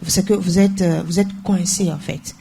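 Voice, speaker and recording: A woman's voice: lowish voice, steady tone, normal speed, gravelly, warm tone, slight background noise. A young woman, speaking as a co-host in a radio talk show discussion and driving home her points by repeating phrases. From Togo. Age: young adult. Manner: emphatic, repeats phrases for weight.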